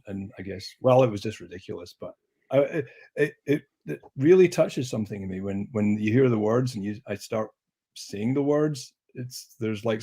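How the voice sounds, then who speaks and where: A man's voice: on the low side, husky. A man chatting as a guest on a video call, telling stories in a big, projecting voice with self-mocking humor. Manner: self-mocking, animated storyteller. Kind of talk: casual chat.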